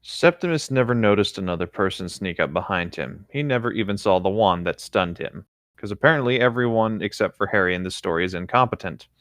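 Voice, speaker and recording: A man's voice: on the low side, expressive, fairly quick, fairly bright tone, dry recording, studio quality. A man co-hosting a podcast, reading fan fiction aloud casually with easygoing, dryly joking asides.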